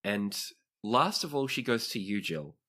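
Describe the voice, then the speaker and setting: A man's voice: on the low side, big pitch swings, unhurried, bright, forceful tone. A man acting as game master, narrating a tabletop roleplaying game.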